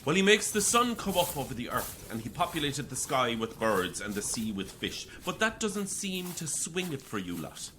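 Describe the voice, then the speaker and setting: A man's voice: medium pitch, very animated, moderate pace, few pauses, pushed and bright, slight background noise. A man acting an intense, prickly Irish priest and bereaved father in an audio drama, preaching and combative. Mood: wry, preachy.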